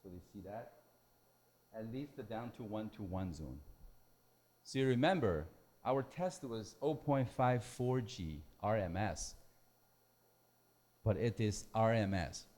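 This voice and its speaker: A man who is a non-native English speaker giving a technical lecture in halting, simple phrases. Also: lowish voice, expressive, a little mellow. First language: not English. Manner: halting, hesitant delivery with simple broken phrasing. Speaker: technical presenter at a symposium.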